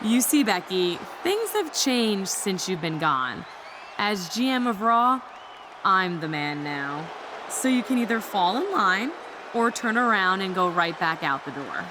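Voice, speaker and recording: A woman's voice: mid-range voice, big pitch swings, measured pace, background noise. A woman playing a smug, power-hungry wrestling general manager in a video game, taunting her rival theatrically. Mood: gloating.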